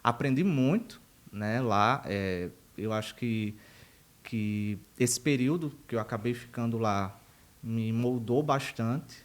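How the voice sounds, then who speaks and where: A man's voice: mid-range voice, takes it slowly. A man in his thirties, a university professor, chatting in a casual interview and wandering off into side stories. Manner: chatty and digressive, laughs at himself. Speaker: university professor, podcast guest.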